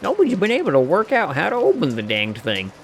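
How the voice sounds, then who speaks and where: A man voicing a friendly, teasing hobo drifter with a folksy, unhurried way of talking, reading a game character's lines aloud. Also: high voice, wildly swooping pitch, slight background noise, voice pushed up, full character voice.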